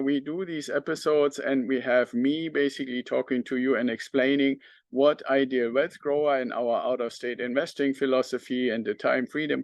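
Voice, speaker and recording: A middle-aged man with a German accent speaks as a podcast host, addressing his audience without a script. His voice sits at an average pitch for a man, and the sound is dry, with very little room on it. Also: dry recording. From northern Germany. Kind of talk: unscripted talk to the audience.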